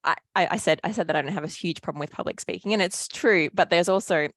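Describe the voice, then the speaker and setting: A woman's voice: fairly low pitch, fast, no room sound, clean studio sound. A woman hosting a podcast, chatty and hesitant, with many fillers and repeats. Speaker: podcast host. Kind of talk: casual interview chat.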